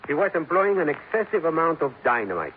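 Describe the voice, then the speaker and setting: An actor playing a calm, stubborn police captain with a Spanish accent, speaking formally and deliberately in a 1950s radio drama. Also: male voice, fairly high pitch, phone-quality sound. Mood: firm, controlled. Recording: noisy, thin 1950s radio recording.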